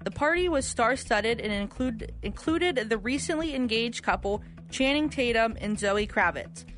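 A woman's voice: on the high side, expressive, normal speed, a bit bright. A woman reading trivia questions as a quiz host on morning radio, in a studio with music underneath. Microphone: studio radio microphone.

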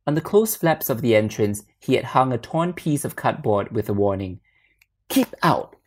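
A woman reading a literary short story aloud as narrator, in a measured and expressive manner. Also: very deep voice.

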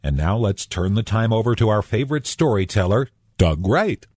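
A man's voice: on the low side, very animated, normal speed. An American man working as a radio announcer, reading a scripted show introduction.

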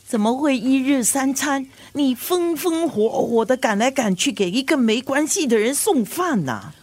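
A meddling older mother and grandmother in a radio drama. Her voice is fairly high and very animated, recorded dry. She is an insistent, prying woman with a Singaporean accent, forever nagging and matchmaking. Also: moderate pace, breathy edge, dry recording. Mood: scolding.

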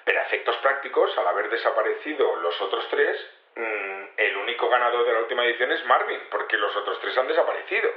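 An actor playing a patient but hesitant security investigator being interviewed in a naturalistic audio drama, explaining things colloquially with repetitions and tag questions. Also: male voice, medium pitch, animated, fast talker, echoey room, dull audio, natural voice. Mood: explanatory, guarded. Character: male security investigator, patient, hesitant, self-assured in his theory.

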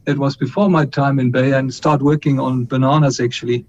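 A middle-aged man from Colombia, a company chief executive answering interview questions in a measured way, with frequent fillers and restarts. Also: steady tone, a little mellow. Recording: muffled line with faint rumble.

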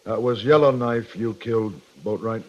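A man's voice: fairly low pitch, moderate pace, darkish tone, natural voice. A man acting a firm, terse cavalry captain in a 1950s radio western, with an American accent and controlled military delivery. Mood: quietly sombre. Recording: muffled noisy 1950s radio recording.

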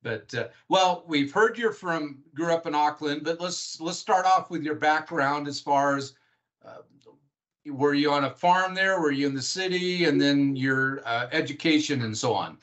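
A man's voice: on the high side, unhurried, a bit bright. A middle-aged man from Washington State with an American accent, hosting a conversational podcast interview. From Washington State, United States.

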